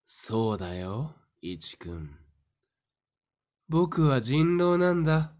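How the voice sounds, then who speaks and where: A woman acting in an audio drama as a calm, smiling, taunting werewolf in human guise, with a theatrical, teasing delivery. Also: a bass voice, slow pace, heavily acted. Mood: calm confession.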